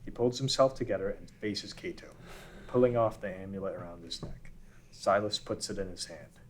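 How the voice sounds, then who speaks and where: A man reading the scene directions of an animated pilot script at a table read. His voice is very low, and his delivery is steady and matter-of-fact. A faint background hiss runs under him. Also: normal speed. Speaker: narrator reading stage directions.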